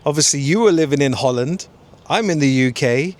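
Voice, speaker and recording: A man's voice: a little high, expressive, fairly quick, slight background noise. A man, a coach interviewing his client in a conversational style.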